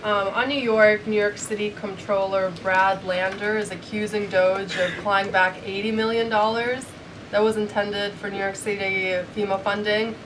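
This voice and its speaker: A woman puts questions at a press briefing with polite, tentative phrasing. She is some distance from the microphone, at medium pitch. The room is clearly noisy. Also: level tone, moderate pace. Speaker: reporter.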